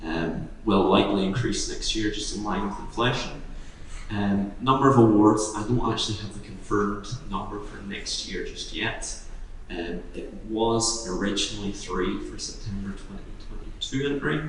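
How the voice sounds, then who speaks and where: A man giving a relaxed, hesitant talk at an event, with many ums and self-deprecating asides. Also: lowish voice, normal speed, a little hiss or hum. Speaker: university outreach speaker. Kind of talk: presentation at an event. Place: event room. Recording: echoey room, mic at a distance.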